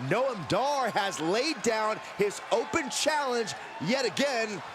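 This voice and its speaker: An excitable male wrestling commentator projects in a high voice, ready to turn indignant. Clear arena ambience sits behind his video game commentary. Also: slow pace, crowd noise, background noise. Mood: excited.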